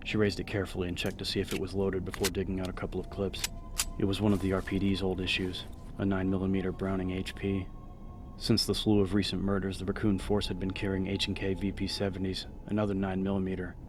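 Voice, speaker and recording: A man's voice: deep voice, monotone, fast, over music. A man narrating horror fiction in a dramatic, scripted audiobook style.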